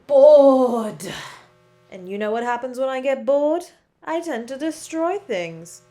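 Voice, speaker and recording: A woman's voice: high voice, lively intonation, slow pace, mellow, faint music behind, pitched above the performer's own voice. A woman playing a bored, taunting supervillain in a superhero audio roleplay, drawling and mocking theatrically. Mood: bored mockery.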